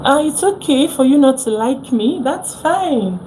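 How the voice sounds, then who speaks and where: A woman's voice: high voice, expressive, measured pace, noisy background. A young Nigerian woman with a Nigerian accent, hosting a casual phone live stream, chatting with viewers and repeating herself.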